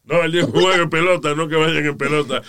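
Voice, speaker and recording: A man's voice: on the high side, fairly quick, a loud crowd. A male co-host trading brash, teasing remarks in casual studio banter.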